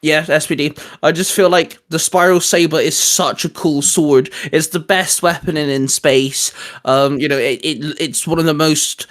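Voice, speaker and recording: A man's voice: fairly high pitch, fairly even intonation, normal speed, fairly bright tone. A man co-hosting a casual, geeky discussion in a conversational way. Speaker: co-host.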